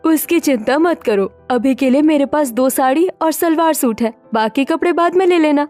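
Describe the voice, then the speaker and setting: A spirited young modern woman with schemes in mind, from a story drama, acted by a woman. Her voice is high and her delivery expressive. Faint music plays behind her. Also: normal speed, close to an everyday voice. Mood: excited, scheming. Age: young adult. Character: spirited, scheming young modern single women seeking housing. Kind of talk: acted Hindi story dialogue.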